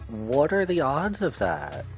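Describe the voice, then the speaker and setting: A man's voice: medium pitch, wildly swooping pitch, slow pace. A man livestreaming casually, thinking aloud in a rambling way as he chats over a game. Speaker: live streamer. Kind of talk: casual gaming stream chat and commentary. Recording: game music behind the voice. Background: video game music and dialogue.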